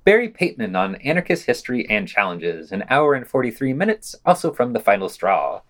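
A man's voice: mid-range voice, sing-song, a little fast, fairly bright tone. A man reading scripted podcast narration as host, with a wry, opinionated manner.

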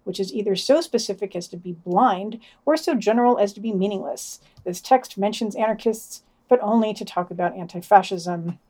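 A woman's voice: medium pitch, normal speed, hardly any pauses, dark tone. A woman co-hosting a podcast, reading scripted news in a dry, sardonic way with wry, opinionated asides.

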